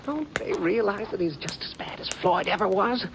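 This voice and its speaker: An American actor playing a nervous, defensive outlaw in an old radio western, stammering and wheedling in folksy rural speech. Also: male voice, sits high, lively intonation, moderate pace, creaky, fairly bright tone, full character voice. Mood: defensive, aggrieved. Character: nervous, defensive outlaw turned informer, pleading for protection. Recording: noisy vintage radio drama recording.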